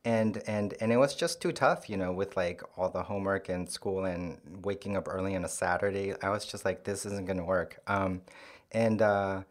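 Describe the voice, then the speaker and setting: A man from Chile, a playwright guest, talking casually with soft, hesitant pauses and many ums. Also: low voice, steady tone. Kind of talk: casual conversation.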